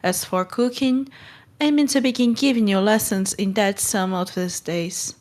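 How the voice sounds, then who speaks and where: A woman's voice: medium pitch, normal speed, darkish tone, close to an everyday voice. A woman playing a stern but kind middle-aged guardian in a storybook read-along, brusque and scolding. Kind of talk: acted audiobook read-along.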